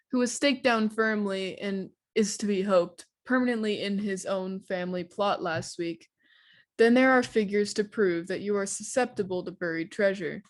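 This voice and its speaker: A woman giving a scripted, formal and wry theatrical introduction as presenter of a radio drama. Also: mid-range voice.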